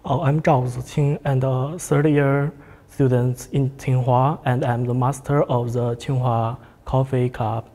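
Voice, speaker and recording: Young student guy on a panel, kinda hesitant, soft dark tone, echoey room. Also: mid-range voice, flat delivery, a little slow. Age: early 20s. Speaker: student guest, coffee club leader. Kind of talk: panel discussion. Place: large indoor cafe-like room. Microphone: lapel.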